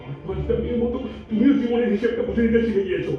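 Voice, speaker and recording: A man's voice: very high voice, fast talker, soft background music. A man playing a hurt, earnest young lover in staged Bengali audio drama, with a Kolkata accent and emphatic, emotive delivery. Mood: accusing. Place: multipurpose hall stage in Kolkata. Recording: echoey hall, distant, muffled, faint noise.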